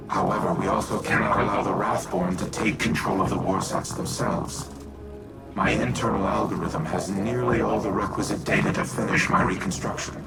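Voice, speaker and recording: Game war AI: low, gravelly, formal and calculating, slightly distant under loud music. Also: male voice. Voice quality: deep, gravelly. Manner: formal, measured, deliberate. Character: male war-machine artificial intelligence, grave, calculating, self-doubting. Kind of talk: scripted video game dialogue.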